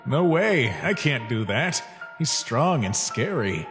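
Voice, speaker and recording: A timid young monster in a cartoon dub, with a very lively, swinging pitch. He speaks slowly and hesitantly, with childlike questioning. Long reverb and clear music surround the voice. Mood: nervous, defensive. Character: naive, timid young male monster, docile test subject. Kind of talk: dramatic comic dub acting.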